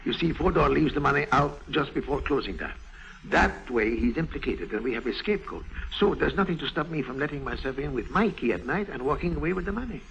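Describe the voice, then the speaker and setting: Animated, gravelly scheming bank official. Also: male voice, on the high side, fast talker, low-quality recording. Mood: smug, scheming. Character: scheming, self-satisfied male bank official plotting a theft. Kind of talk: 1950s radio drama acting. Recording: vintage 1950s radio recording.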